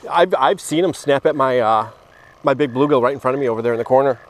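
A man chats casually in an easygoing, halting way while fishing outdoors. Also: medium pitch. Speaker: angler filming a fishing outing. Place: outdoors on a grassy pond bank. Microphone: body-worn action camera near the speaker. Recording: noisy outdoor action camera, nature ambience.

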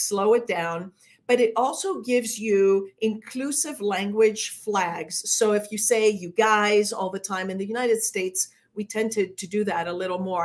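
A middle-aged woman coaches public speaking in a live webinar. She talks in a lively, chatty way, reading out viewer comments from a bright indoor room, seated before a webcam. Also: mid-range voice. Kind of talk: live-streamed webinar talk.

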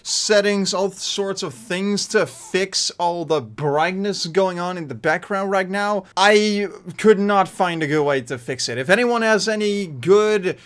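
A man's voice: sits high, unhurried, few pauses, clean tone. A young Dutch man in his twenties with a Dutch accent, talking casually to his webcam from his bedroom, rambling with lots of fillers and restarts. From Netherlands. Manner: animated hand gestures while talking. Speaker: YouTuber. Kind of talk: casual vlog talk to webcam. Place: small bedroom, indoors. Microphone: desk mic in front of webcam.

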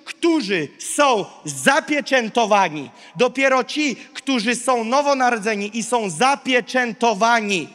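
High-pitched male preacher in a reverberant hall. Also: fairly bright tone. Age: 30s. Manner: emphatic, declarative, short repeated phrases with dramatic pauses. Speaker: pastor preaching. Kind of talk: sermon to a large live congregation. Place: large indoor auditorium stage. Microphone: handheld microphone. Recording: big reverberant hall PA sound.

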